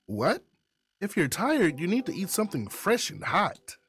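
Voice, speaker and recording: A woman's voice: fairly low pitch, sing-song, unhurried, voice pushed up. A woman voicing a caring, easygoing coworker friend who is casual and insistent, in a visual novel.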